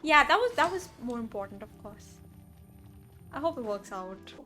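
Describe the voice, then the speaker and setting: A woman's voice: fairly high pitch, normal speed, soft background music. A young woman streamer giving casual, gushing, slangy live reactions to a video game. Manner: excitable, gushing fan, slangy.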